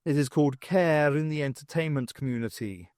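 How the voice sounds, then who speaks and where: A man's voice: fairly high pitch, a little fast, darkish tone, clear, resonant tone, no echo, studio quality, close to an everyday voice. A man voicing a languid, haughty male cat who talks in a formal, wordy drawl in an audiobook. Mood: languid disdain.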